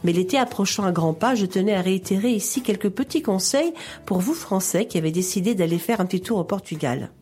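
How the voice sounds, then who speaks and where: A woman reading her scripted radio column in a measured, reassuring, explanatory way. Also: medium pitch, warm tone.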